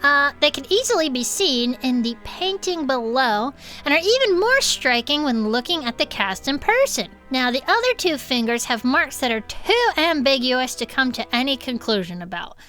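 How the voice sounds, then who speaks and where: A woman co-hosting a comedy podcast, playful and expressive as she reads aloud and chats. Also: high voice, normal speed, hardly any pauses, soft background music. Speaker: podcast co-host. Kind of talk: comic reading aloud of a report with chatty asides.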